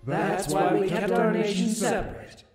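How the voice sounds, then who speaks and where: A man voicing a solemn, ancient otherworldly ruler in a visual novel, making grave, deliberate, theatrical pronouncements. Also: high-pitched, lightly acted. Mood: measured, explaining. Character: solemn, ancient otherworldly ruler, calmly justifying terrible deeds. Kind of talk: dramatic visual novel voice acting. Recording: echoing voice under loud music.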